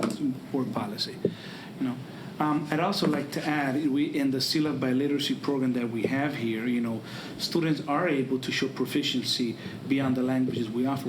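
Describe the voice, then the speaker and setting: A man talks through points at a public meeting like an administrator answering questions. His delivery is halting, with phrases repeated as he goes. The room echoes around him and noise sits clearly behind his voice. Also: medium pitch. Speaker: school administrator at a board meeting.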